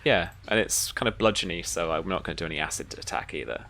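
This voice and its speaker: Very low-voiced woman running a game. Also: expressive, quick pace, fairly bright tone. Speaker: game master. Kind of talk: running a tabletop roleplaying game.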